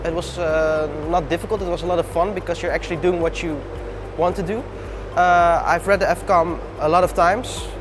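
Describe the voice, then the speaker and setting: A man in his thirties, from the Netherlands, speaks a little high, earnest and paced by uhs. Clear background noise runs behind him. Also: fairly even intonation, normal speed. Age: 30s. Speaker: pilot trainee. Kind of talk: interview testimonial.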